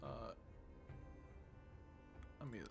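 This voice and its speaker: A man's voice: extremely slow, over music. A man giving casual live commentary as he streams a video game.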